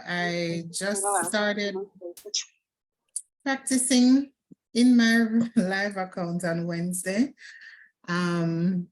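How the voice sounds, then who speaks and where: A woman from Florida speaking slowly and haltingly on a video call, with frequent fillers. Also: lowish voice, rough recording. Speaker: caller. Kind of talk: casual testimonial on a call.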